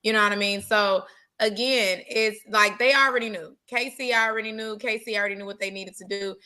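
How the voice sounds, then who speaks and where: A woman's voice: medium pitch, fairly even intonation, a little fast, pushed and bright, smooth. A woman co-hosting a sports talk show, making her points with emphatic repetition in casual chat. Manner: emphatic, repeats points for stress.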